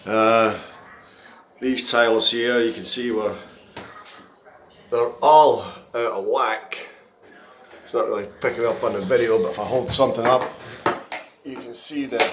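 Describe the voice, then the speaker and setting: A man reviewing a contractor's work in a handheld phone walkthrough of a half-tiled bathroom, speaking in a halting, critical way with many pauses. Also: mid-range voice, slight background noise. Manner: slow, halting, frequent pauses and restarts; disgruntled, critical. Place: bathroom under renovation, indoors. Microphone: phone camera held in hand.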